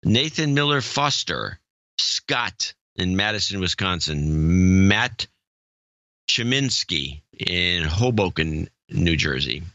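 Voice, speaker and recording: Slow, low-voiced man reading names. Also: sing-song, sharp tone, dry recording, dull audio. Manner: reads names haltingly, stumbles over pronunciations and jokes in between. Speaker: podcast co-host reading donor names. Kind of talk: casual chat.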